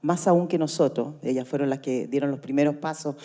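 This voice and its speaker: An older Chilean woman with a voice a little on the low side. She talks in a colloquial, anecdotal way, as an actress invited to a conversation. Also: a little fast, warm tone. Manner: colloquial, storytelling. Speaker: actress, guest.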